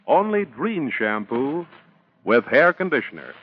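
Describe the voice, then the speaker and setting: A male announcer sells with polished emphasis in 1940s radio style. His mid-pitched voice is very animated. It comes through a thin, tinny broadcast with faint music. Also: a little slow.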